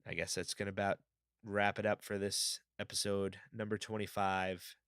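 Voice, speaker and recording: A man hosting his own podcast in a casual, rambling, upbeat way, his voice slightly raspy from a cold. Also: fairly low pitch, little pitch movement, moderate pace, a bit bright, faint music behind. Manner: chatty, rambling, upbeat fan enthusiasm. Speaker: podcast host. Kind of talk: casual solo podcast talk.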